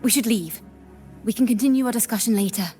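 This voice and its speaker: Woman as thoughtful young bard, lightly high voice. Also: mellow, close to an everyday voice. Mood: cautious, urgent. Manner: measured, reflective. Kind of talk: video game voice acting. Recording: music behind the voice.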